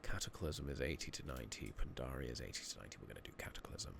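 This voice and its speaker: A man giving soft-spoken, partly whispered ASMR commentary on a game, muttering and thinking out loud, recorded close on a makeshift mic. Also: rumbling low, very fast, vocal fry. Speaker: game streamer narrating a playthrough. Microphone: close makeshift microphone. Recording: noisy makeshift mic with clicking.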